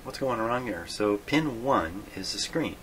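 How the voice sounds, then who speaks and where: A man thinking aloud in an unscripted workbench video, halting and puzzled, muttering to himself in a self-deprecating way. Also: on the low side, expressive, a bit bright. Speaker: host of a radio repair video. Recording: clearly noisy hobby video recording.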